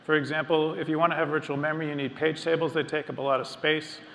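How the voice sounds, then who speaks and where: A middle-aged man presenting to a live audience on a large stage, explaining in a measured way with some ums and restarts. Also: on the high side, level tone, creaky, a bit bright, slight background noise. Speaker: engineering lead presenting on stage. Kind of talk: technical keynote talk. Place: large dark stage before a seated audience. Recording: long hall reverb, faint ambience.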